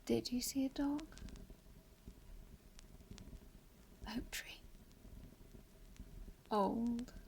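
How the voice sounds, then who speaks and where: A woman playing a weakened, haunted lone survivor in a horror radio play, speaking in halting, breathy, whispered fragments. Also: a little high, darkish tone, slight background noise. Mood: weary, haunted. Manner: halting, fragmented phrases with long pauses. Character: dying lone female survivor, entangled, haunted, bitterly resigned.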